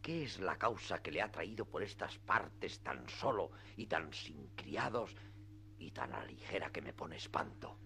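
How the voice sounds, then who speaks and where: An actor playing a proud, indignant knight-errant in a radio play, declaiming in grandiloquent archaic Spanish. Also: male voice, low-pitched, lively intonation, a little slow, creaky, bright, forceful tone, slight background noise, full character voice. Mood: grave, puzzled. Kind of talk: classic radio theatre acting.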